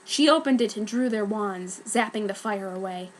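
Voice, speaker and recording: A woman's voice: medium pitch, normal speed, a little hiss or hum, low-quality recording. A woman narrating a piece of fan fiction as a theatrical, emphatic dramatic reading.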